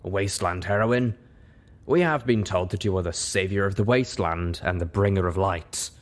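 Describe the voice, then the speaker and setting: Man playing a refined low-voiced gentleman unicorn. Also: moderate pace, lightly acted. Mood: polite, measured. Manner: refined, formal, not too haughty. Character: refined, polite, mysterious gentleman unicorn stallion.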